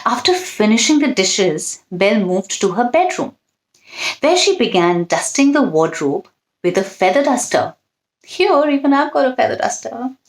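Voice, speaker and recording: A woman's voice: fairly high pitch, big pitch swings, moderate pace, rough recording. A woman hosting a children's storytime, reading expressively and chatting directly to young listeners.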